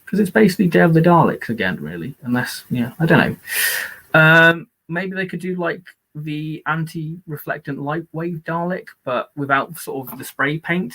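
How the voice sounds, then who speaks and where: Rambling, hoarse man chatting on a live-stream. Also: medium pitch, a bit bright, low-quality recording. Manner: rambling and enthusiastic, many fillers. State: losing his voice. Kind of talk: casual live-stream chat.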